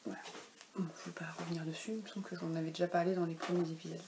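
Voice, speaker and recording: A woman's voice: fairly low pitch, level tone, racing, noisy background. A woman in her thirties, a knitwear designer, chatting casually at home about her knitting patterns, with frequent hesitations and self-corrections. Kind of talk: casual knitting podcast chat. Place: home, in daylight.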